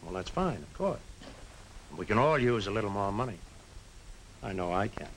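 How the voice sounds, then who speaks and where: An actor playing a sober, principled small-town man in a 1950s film drama, speaking earnestly and deliberately on an old soundtrack. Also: lowish voice, animated, slight background noise, low-quality recording. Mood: grave, earnest.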